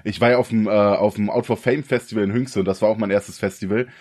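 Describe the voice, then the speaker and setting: A young man from the co-hosting a casual podcast, slangy and relaxed, often laughing. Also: fairly low pitch, fast talker. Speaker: podcast co-host. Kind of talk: casual chat.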